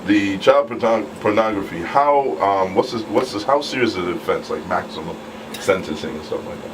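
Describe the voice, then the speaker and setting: A man with a deep voice co-hosts a talk show. He asks questions casually, feeling his way into them haltingly. The recording is noisy and he sounds some distance from the mic. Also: expressive. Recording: distant mic, clearly noisy room with hum.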